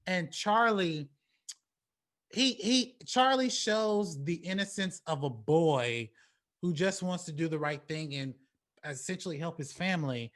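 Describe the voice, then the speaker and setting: A man's voice: on the high side, a little slow, a bit bright. A man hosting a casual movie talk show, slangy and emphatic, often stammering and repeating his words as he gives opinions.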